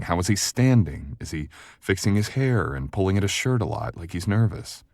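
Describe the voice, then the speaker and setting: A man playing a sharp, confident, flirtatious book editor in an intimate, conversational audio roleplay, speaking in a measured, teasing manner. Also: deep voice, gravelly, slightly rough, no echo, exaggerated voice. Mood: coaching.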